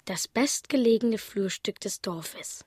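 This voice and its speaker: Hushed, wondering village youngster. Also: female voice, medium pitch, lively intonation, slow, husky, dry recording. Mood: hushed, pensive. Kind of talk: radio play acting, inner voice. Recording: studio with faint music behind.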